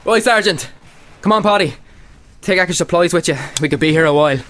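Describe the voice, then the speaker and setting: A man's voice: high voice, brisk, a bit bright, slight background noise. A man playing a watchful young soldier with an Irish accent in a radio play. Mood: tense, hesitant.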